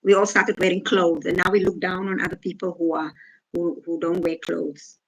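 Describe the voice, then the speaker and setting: A woman's voice: lowish voice, little pitch movement, normal speed, poor audio. A woman from Africa, casually reading out comments and answering them with sarcasm. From South Africa. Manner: sarcastic, reads out and rebuts comments. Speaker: vlogger reacting to comments.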